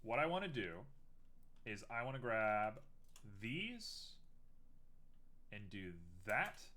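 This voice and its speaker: Young man, live streamer, casual chat, self-mocking, very lively pitch. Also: slow. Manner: chatty, self-mocking, thinks aloud while working.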